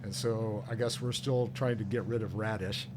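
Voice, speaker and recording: A man's voice: fairly low pitch, moderate pace. A middle-aged man chatting casually as he co-hosts a live game stream. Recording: loud steady background noise.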